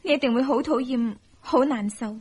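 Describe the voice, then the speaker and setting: An actress with a Hong Kong Cantonese accent playing a young, devoted, self-sacrificing wife in a radio drama, plaintive and earnest. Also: female voice, fairly high pitch, normal speed, darkish tone, slightly rough. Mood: sad, pleading.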